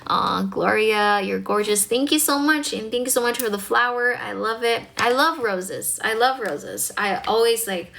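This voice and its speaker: A woman's voice: fairly high pitch, moderate pace, creaky, hardly any pauses, a bit bright, slight background noise. A young woman with a North American accent and Chinese as her first language, chatting casually and encouragingly with her livestream viewers as an English tutor, with plenty of "um" and "like". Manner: chatty, encouraging, filler-heavy.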